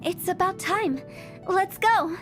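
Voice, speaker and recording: High-voiced, quirky young funeral director. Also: female voice, slow. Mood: playful, cryptic. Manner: theatrical, playful storytelling. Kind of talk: video game character acting. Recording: music behind the voice. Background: game music.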